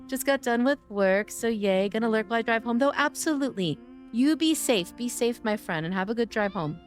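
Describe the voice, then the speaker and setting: A woman livestreaming a game, chatting playfully with her viewers, her voice a bit hoarse from days of streaming. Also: on the high side, animated, brisk, soft background music. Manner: playful, chatty, greeting chat by name. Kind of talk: casual game-stream chat.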